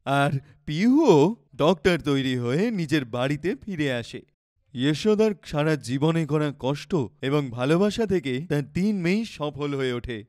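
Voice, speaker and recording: Casting: male narrator for children's tales, fairly high voice, scripted storytelling, dry close recording. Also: a little mellow, no echo.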